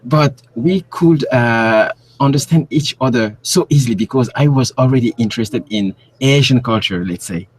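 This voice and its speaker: A man's voice: medium pitch, moderate pace, hardly any pauses, husky, poor audio. A man from Cameroon, a guest researcher speaking on a remote call, who often stops to search for words. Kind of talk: interview on a call.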